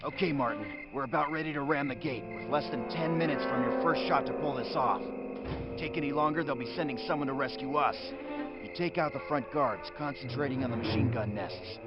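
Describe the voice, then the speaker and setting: An actor playing a brisk army captain in a war game, giving terse, commanding combat orders. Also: male voice, medium pitch, lightly acted. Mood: focused, commanding. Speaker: military commander. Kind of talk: scripted game dialogue. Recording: dull audio under very loud music.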